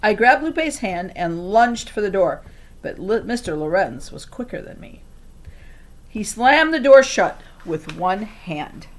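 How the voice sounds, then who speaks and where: A woman's voice: medium pitch, big pitch swings, unhurried. Woman reading a storybook aloud expressively. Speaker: reader reading a children's novel aloud.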